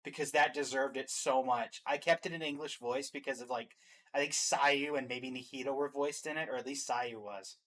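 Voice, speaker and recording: A man's voice: mid-range voice, fairly even intonation, moderate pace, fairly bright tone, rough recording. A man chatting casually as the host of a reaction show, commenting off the cuff.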